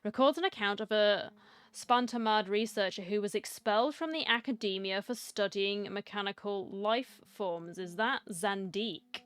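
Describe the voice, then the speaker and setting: A woman's voice: medium pitch, moderate pace, fairly bright tone, smooth. A woman giving casual playthrough commentary as a streamer, with chatty asides and game text read aloud. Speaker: gaming streamer.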